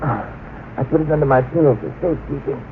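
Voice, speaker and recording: A man's voice: mid-range voice, fast, close to an everyday voice. An actor in an old radio drama playing an anxious, plaintive young man. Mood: pained. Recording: muffled, narrow-band old radio recording, hissy.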